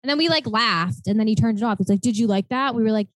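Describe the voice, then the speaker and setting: A woman in her late twenties co-hosting a podcast, telling stories in a chatty, animated way full of "like" fillers. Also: a little high, fast talker, no echo. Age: 20s. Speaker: podcast co-host. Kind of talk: casual chat.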